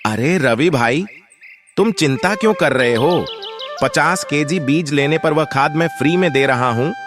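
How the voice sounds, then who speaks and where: A man's voice: on the high side, animated, unhurried, over music. A man voicing a friendly, persuasive bird shopkeeper in a children's cartoon. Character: friendly male kite shopkeeper selling seed.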